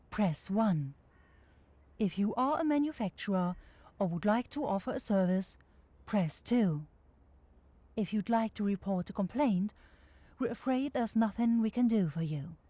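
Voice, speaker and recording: A woman playing a polite automated phone-line announcer in a fantasy roleplay, measured and formulaic. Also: medium pitch, animated, dark tone, dry recording. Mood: polite, detached.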